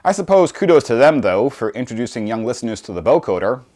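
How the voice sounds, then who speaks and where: A man's voice: mid-range voice, big pitch swings, fast, a little mellow. A man in his early forties narrating a scripted review with wry, dry humour. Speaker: record reviewer, presenter. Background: music.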